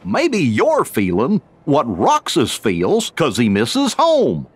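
A man playing a gentle, earnest cartoon dog companion in a video game, with a goofy husky drawl. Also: fairly high pitch, wildly swooping pitch, slow, exaggerated voice. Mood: gentle concern.

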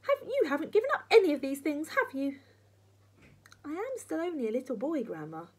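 Young storybook boy, voiced by a woman, timid, pleading, high, lively swooping pitch, slightly husky. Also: fairly quick, noisy background. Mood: earnest, questioning. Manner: pleading, questioning. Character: timid, protesting eight-year-old boy. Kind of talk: children's storybook character voice.

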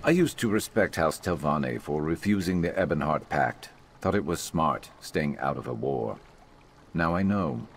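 An actor playing a gruff, world-weary adventurer companion in a fantasy game, with a blunt, dry, sardonic manner. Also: male voice, extremely low pitch, a little hiss or hum. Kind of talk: scripted fantasy game voice acting.

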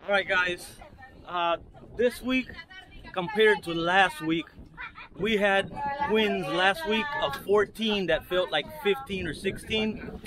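A man's voice: sits high, slow, crowd noise. A middle-aged man hosting a boating vlog, talking casually with many fillers and restarts. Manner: easygoing, rambling with fillers and restarts. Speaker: boating channel host. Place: open boat on windy water, outdoors. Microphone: action camera held close.

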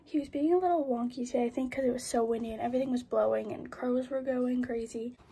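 A teenage girl vlogging casually about her horse at a barn, talking into a phone camera she holds close, chatty with fillers and quick asides. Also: on the high side, creaky, dark tone, a little hiss or hum. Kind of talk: casual vlog narration. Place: horse barn and outdoor riding arena. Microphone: phone camera held close.

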